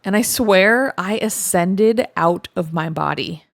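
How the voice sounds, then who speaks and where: A woman reading first-person confession stories aloud in an expressive, conversational storytelling manner. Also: fairly low pitch, takes it slowly, gravelly. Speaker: story reader, host of a show reading online confessions.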